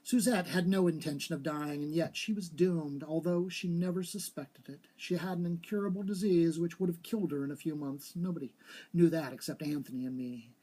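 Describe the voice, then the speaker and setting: A man acts out an eerily composed young woman from a ghost story. She speaks evenly and with cold authority, in a soft-edged, mellow, husky voice. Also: a little high, close to an everyday voice. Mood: calm, bitter confession. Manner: even, authoritative, deliberate. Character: slim dark young woman, calm, eerily confessing a killing. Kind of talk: storybook character reading.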